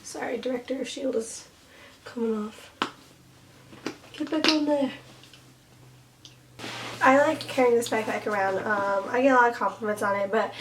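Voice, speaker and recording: Hoarse young woman vlogging, chatty. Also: fairly high pitch, fairly even intonation, moderate pace, long pauses, far from the mic, background noise. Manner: chatty, giggly, rambling with fillers. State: hoarse, losing her voice. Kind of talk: casual chat.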